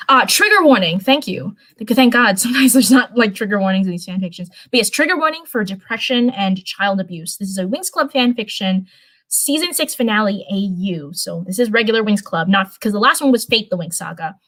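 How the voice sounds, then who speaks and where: A woman's voice: medium pitch, animated, fairly quick, a bit bright. A woman reading fan fiction on a live stream in an informal way, with chatty asides.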